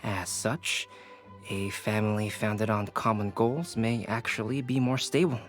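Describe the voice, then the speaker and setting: A man voicing a calm, courteous young alchemist and teacher in a video game, polite and inquisitive. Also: lowish voice, unhurried, close to an everyday voice. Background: game music.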